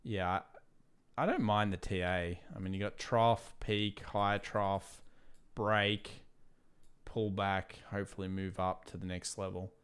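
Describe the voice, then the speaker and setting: A man with an Australian accent and a lowish voice hosts a casual live trading stream. He rambles loosely between points, and his voice sounds worn from talking for hours. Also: steady tone, unhurried, a bit bright, smooth. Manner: casual, rambling, reads viewer questions aloud. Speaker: trading channel host. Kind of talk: live stream market analysis.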